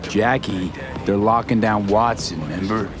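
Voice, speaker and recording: Man acting a low, dry young mercenary. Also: measured pace, lightly acted. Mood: practical. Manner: terse, dry, matter-of-fact. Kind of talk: cinematic video game acting. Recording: music behind the voice.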